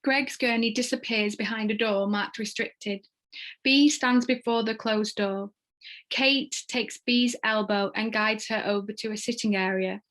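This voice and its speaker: A woman's voice: a little high, fairly even intonation, measured pace, fairly bright tone. A woman reading stage directions as scripted narration for a table read.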